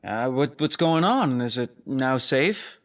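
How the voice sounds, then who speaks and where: A man playing an easygoing but hesitant space security officer in an audio drama, speaking casually and haltingly. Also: mid-range voice, lively intonation, fairly bright tone. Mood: hesitant, taken aback.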